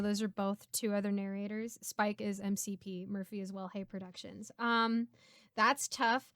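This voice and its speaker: A woman's voice: a little high, no room sound, clean studio sound. A woman chatting casually and giggling as she hosts a question-and-answer session with friends. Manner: giggly, animated. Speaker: host and voice actor answering viewer questions. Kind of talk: casual Q&A chat among friends.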